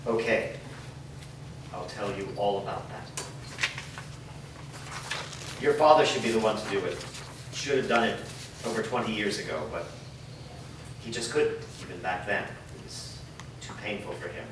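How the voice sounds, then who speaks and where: A man playing a gruff, guarded older uncle in a staged reading of a drama. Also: fairly low pitch, measured pace, pauses often, natural voice. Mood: guarded, somber. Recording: echoey room, distant mic, background noise.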